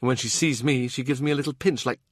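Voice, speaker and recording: Casting: man playing a young noble widow and mother, higher-pitched, aggrieved yet wistful, for a historical novel audiobook. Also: steady tone, fast, no echo, studio quality, close to an everyday voice. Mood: aggrieved, weary.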